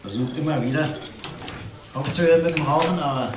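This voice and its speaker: A man's voice: a little high, a little fast, natural voice. Actor as nervous male employee, echoey, noisy. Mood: sheepish, awkward. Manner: hesitant, repeats himself, tag questions. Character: nervous, apologetic male restaurant employee, evasive. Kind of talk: dramatic dialogue acting. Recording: noisy, echoey film dialogue.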